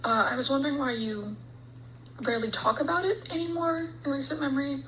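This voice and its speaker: A woman with a somewhat high voice asks a polite, hesitant question in a voice memo. She pauses with frequent ums over a faint hiss. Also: fairly even intonation, a bit bright, low-quality recording. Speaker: fan sending a voice memo.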